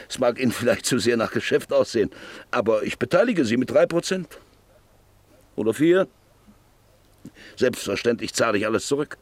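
An actor playing a hard, scheming landowner in a German radio play, brusque, sardonic and persuasive. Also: male voice, fairly low pitch, no room sound. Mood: calculating, pressing. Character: hard, scheming landowner and father, scornful businessman.

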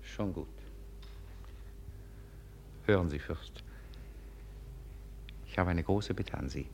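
Deep gravelly, agitated schemer. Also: male voice, darkish tone, full character voice. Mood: furtive, awkward. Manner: tense, halting, insistent. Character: agitated, scheming, irritable male secretary. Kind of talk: 1950s German radio drama acting. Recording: noisy 1950s radio play recording.

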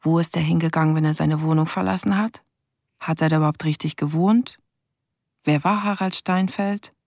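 A brooding young female investigator in a radio drama. Her voice is creaky and closely, dryly recorded. She speaks in a reflective, questioning monologue with a bitter edge. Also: low-pitched, steady tone, moderate pace, darkish tone, no room sound, studio quality. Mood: frustrated, brooding. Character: brooding young investigator troubled by a first murder case.

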